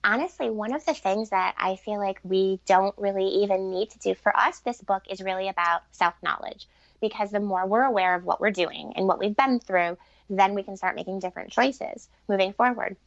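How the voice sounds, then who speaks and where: A woman's voice: mid-range voice, normal speed, gravelly, no room sound, highs cut off. A woman speaking conversationally as an interview guest on spiritual matters, explaining earnestly and repeating points for emphasis. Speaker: podcast guest, author and relationship expert.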